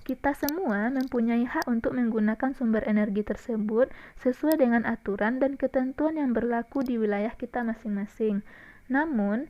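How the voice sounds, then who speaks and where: A woman teacher with an Indonesian accent gives a recorded lesson and speaks gently and directly to her young pupils. Her voice sits a little high and comes through a dull, lo-fi recording. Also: normal speed. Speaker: primary school teacher.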